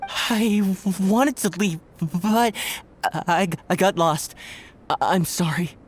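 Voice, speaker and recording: Slow, shivering, stammering adventurer. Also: male voice, high-pitched, background noise. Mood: shivering, sheepish. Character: freezing, hapless, apologetic young male adventurer.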